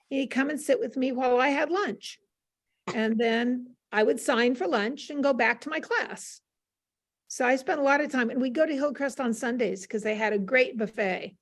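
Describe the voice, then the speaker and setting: An older woman speaking as an interview guest, casually telling anecdotes on a panel with a gently teasing manner. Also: a little high.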